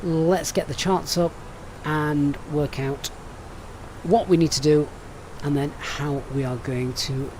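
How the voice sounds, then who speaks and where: A man with a Sheffield accent talks through a flight plan step by step, then chats easily with viewers. His voice sits a little higher than most men's. Faint noise hums under the recording. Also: steady tone, takes it slowly, darkish tone, poor audio. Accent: Sheffield English. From Sheffield, England. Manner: methodical, chatty, reads out viewer comments. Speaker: flight sim streamer. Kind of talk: live-stream briefing and chat.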